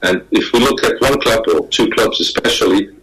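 A man from Denmark, speaking as a guest on a panel over a video call, halting and repeating words as he talks. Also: on the low side, animated, a bit bright, low-quality recording. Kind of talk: remote panel talk.

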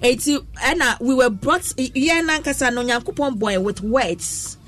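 High-voiced Ghanaian woman radio host. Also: unhurried. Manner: animated, mixes English and Twi, laughs often. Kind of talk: lively radio studio banter. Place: radio studio.

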